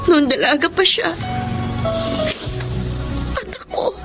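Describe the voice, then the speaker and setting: An actress playing a devoted, distressed young adult daughter in a Filipino melodrama, speaking with earnest, pleading emotion and a Filipino accent. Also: female voice, high voice, lively intonation, slow pace, loud music behind the voice, exaggerated voice. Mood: solemn, emotional.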